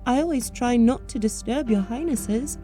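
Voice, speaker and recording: A man voicing a timid, earnest young chambermaid in a soft, meek voice for a fairy-tale audiobook. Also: very high voice, normal speed, soft background music, pitched above the performer's own voice.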